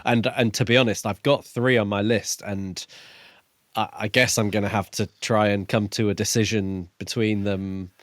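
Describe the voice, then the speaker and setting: Lower-pitched man, measured podcast talk. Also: flat delivery, no echo, clean studio sound. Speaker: podcast co-host. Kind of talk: casual book discussion.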